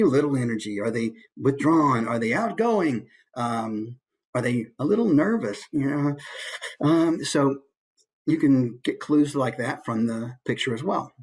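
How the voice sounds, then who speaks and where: A man's voice: mid-range voice, measured pace. A man giving a casual, playful instructional talk as a voice actor. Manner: animated, playful.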